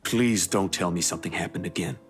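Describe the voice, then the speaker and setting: A woman voicing an exasperated but caring young man in a visual novel, with animated, emphatic delivery. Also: extremely low pitch, brisk, faint music behind, pitched below the performer's own voice. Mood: worried. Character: young man, exasperated, caring, wry narrator-hero Zach. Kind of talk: visual novel dialogue read aloud.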